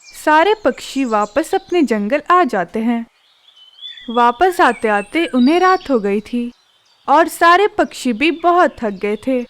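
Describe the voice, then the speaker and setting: A woman narrating a children's cartoon story in a calm, even manner. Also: high-pitched, slow.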